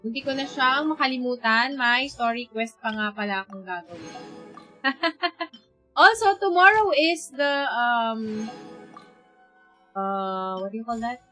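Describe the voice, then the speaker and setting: A high, bright female voice with a Filipino accent takes its time over casual livestream chat. She is a native Tagalog speaker and often pauses to search for the next word, thinking aloud. Soft music plays behind her. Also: expressive. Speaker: live game streamer.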